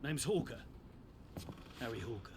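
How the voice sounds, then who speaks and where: A man playing a confident sniper and covert operative in a game cutscene, dry and understated, with a gravelly voice. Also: mid-range voice, lively intonation, normal speed, noisy background, close to an everyday voice. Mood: calm, wry. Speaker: game protagonist and narrator. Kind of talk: dramatic game cutscene narration. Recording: music behind the voice.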